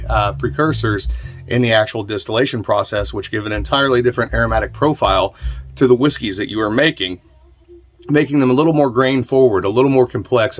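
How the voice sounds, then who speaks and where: A man with an American accent and a fairly low voice talks as the host of an instructional podcast. His delivery is casual and plain-spoken, explaining practical matters informally with a self-deprecating streak. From southern Indiana, United States. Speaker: host of a distilling podcast and channel. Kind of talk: casual explanatory talk answering viewer questions.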